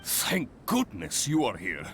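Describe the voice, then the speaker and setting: A man's voice: medium pitch, huge pitch swings, slow, a little hiss or hum, exaggerated voice. A man voicing an earnest, flustered adventurers' guild organizer in a video game, explaining things politely and with animation. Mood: flustered, relieved.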